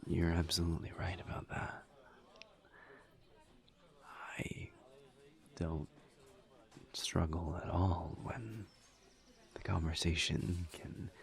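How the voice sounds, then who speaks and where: A man acts out a shy male incubus who is flirtatious by nature yet careful and courteous. His deep voice has a gravelly creak and softens toward a whisper. He talks extremely slowly and haltingly, as in an intimate audio roleplay. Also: heavily acted. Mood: quietly candid. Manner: hesitant, halting, awkward small talk. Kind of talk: audio roleplay acting, intimate one-sided conversation.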